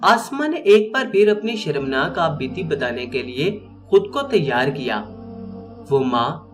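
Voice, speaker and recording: A male storyteller tells a moral tale with heavy feeling in every sentence. His voice is high for a man and moves in wide, lively swings. Background music plays throughout. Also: normal speed. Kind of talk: dramatic moral story narration.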